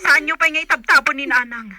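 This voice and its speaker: A woman's voice: high-pitched, fast talker, heavy background noise, lightly acted. A woman playing an urgent, bossy older sister in a Filipino drama, with a Filipino accent. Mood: shocked, urgent. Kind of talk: Filipino radio-style drama acting.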